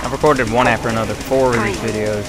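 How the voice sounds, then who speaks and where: A young man giving rambling, chatty commentary while playing a game, his voice getting hoarse. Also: mid-range voice, fairly even intonation, fast, rough recording. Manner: rambling, self-correcting, chatty. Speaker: gaming video host. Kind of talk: casual gameplay commentary. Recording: loud game music behind. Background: game sounds and gunfire.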